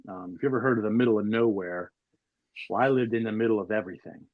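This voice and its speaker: A man's voice: on the low side, quick pace, no room sound, narrow, tinny audio. Rambling storyteller man in his forties. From Maryland, USA. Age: 40s. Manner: rambling storyteller, many fillers. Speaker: podcast guest. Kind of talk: casual chat.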